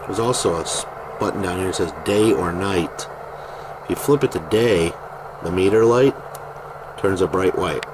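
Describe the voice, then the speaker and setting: A man giving a casual, plain-spoken product demonstration as a private seller, in an unhurried, informal way. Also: low voice. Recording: noisy home video, steady background noise.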